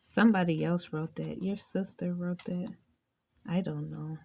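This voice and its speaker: A woman's voice: lowish voice, steady tone, measured pace, gravelly, warm tone. A woman chatting casually as she coaches and playfully prompts a child. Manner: encouraging, playful teasing. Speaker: adult helper prompting a child reader.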